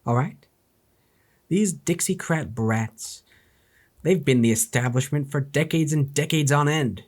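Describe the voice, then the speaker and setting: Sarcastic, fairly high-voiced male commentator. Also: a little slow, a little mellow. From New Jersey. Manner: sarcastic, profane ranting. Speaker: YouTube history commentator. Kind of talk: reading a historic speech with commentary.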